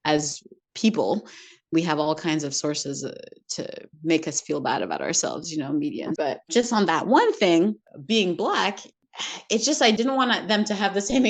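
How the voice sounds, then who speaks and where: A woman's voice: on the low side, vocal fry. A middle-aged woman speaking candidly and reflectively in an interview, laughing now and then between points. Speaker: guest. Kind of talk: candid personal conversation. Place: home in Montreal.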